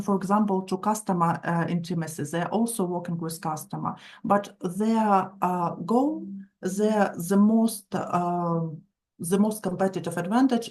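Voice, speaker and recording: A woman from Ukraine with a Ukrainian accent teaches an online session as an instructor, speaking haltingly with frequent fillers. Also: mid-range voice, a little mellow. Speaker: marketing instructor. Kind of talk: online training session, explanatory lecture.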